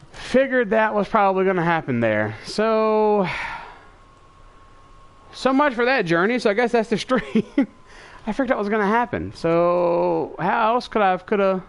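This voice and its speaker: A man with a stuffy nose from allergies, thinking aloud in casual live commentary as he streams a truck-driving game. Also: sits high. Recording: clear game engine and truck noise behind voice. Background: truck engine and vehicle sounds from the game.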